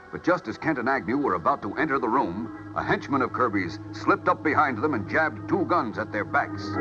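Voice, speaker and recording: Dramatic old-time radio serial narrator. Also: male voice, fairly low pitch. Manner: dramatic, urgent. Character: breathless male narrator of a superhero adventure serial. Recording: dull old radio recording with organ music.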